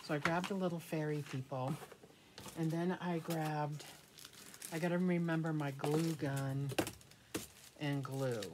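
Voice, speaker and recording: A middle-aged American woman with a low-pitched voice talks slowly and informally as she shows craft supplies. She thinks out loud and lets sentences trail off. The recording is made in a small room with clear background noise and clicks. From United States. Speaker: craft vlogger. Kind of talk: casual rambling craft show-and-tell.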